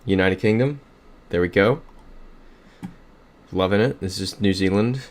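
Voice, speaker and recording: Young guy streaming from his room, lowish voice, upbeat, thinking out loud, desk mic. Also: measured pace. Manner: upbeat, thinks aloud, reads chat messages. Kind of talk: casual livestream commentary.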